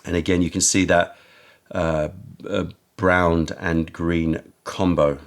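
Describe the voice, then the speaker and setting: Slow, deep-voiced man, croaky from waking. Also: flat delivery. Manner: slow, musing, searching for words. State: croaky, just woken up. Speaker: tea shop host. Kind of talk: casual tea tasting narration.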